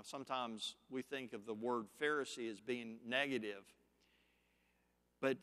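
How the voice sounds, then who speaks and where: A man preaching a sermon, teaching scripture point by point in a slow, deliberate, emphatic way. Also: a little high, a bit bright, long reverb tail.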